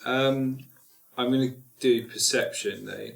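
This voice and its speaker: A man's voice: medium pitch, fairly even intonation, slow pace, vocal fry. A man chatting casually as a player in a tabletop game, thinking aloud and joking. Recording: roomy, echoey group recording.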